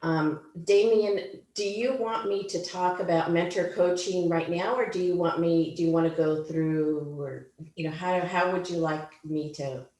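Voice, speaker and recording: Low-pitched woman mentor coach, slow and hesitant, echo of a room. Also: fairly even intonation, darkish tone. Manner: hesitant, many ums and pauses. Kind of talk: orientation talk.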